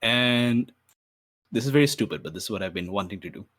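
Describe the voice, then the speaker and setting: A man talks casually through his moves in a tabletop game. He thinks aloud in a mid-range voice with lively, varied pitch. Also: fairly quick.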